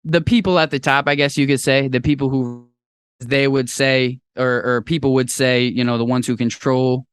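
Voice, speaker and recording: A young man hosting a podcast in casual chat, talking in an animated, rambling way with playful jumps into a high voice. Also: normal speed, smooth, no echo. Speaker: podcast host.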